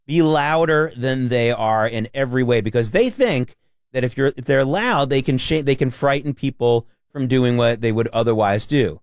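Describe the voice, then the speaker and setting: A man's voice: mid-range voice, normal speed, no room sound, clean studio sound. A man co-hosting a talk radio show, blunt and emphatic. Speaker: radio co-host. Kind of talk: casual talk radio chat.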